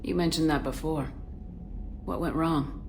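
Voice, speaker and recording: Low-voiced, composed female commander. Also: fairly even intonation, a little slow, vocal fry, darkish tone, slight background noise. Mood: measured. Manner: direct, composed. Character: steady, frank, dryly teasing female military commander. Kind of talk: conversational video game acting.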